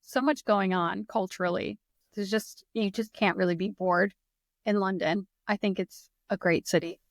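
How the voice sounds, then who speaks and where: A woman's voice: medium pitch, steady tone, normal speed, no echo, clean studio sound. A young woman with a Midwestern American accent chatting casually and enthusiastically, laughing often. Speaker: guest, English teacher. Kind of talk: casual conversation.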